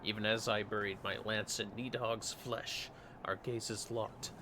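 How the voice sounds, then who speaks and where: A man's voice: fairly low pitch, flat delivery, a little slow, noisy background, natural voice. A man voicing a solemn, aging retired knight, reading fantasy game dialogue in grave, formal, archaic phrasing. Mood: confessional, grave.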